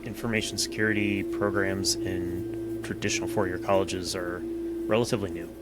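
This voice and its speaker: Rambling man with loud noise behind. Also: sits low, flat delivery, normal speed. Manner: rambling, repeats words, says "you know" a lot. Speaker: podcast co-host. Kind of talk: casual podcast conversation.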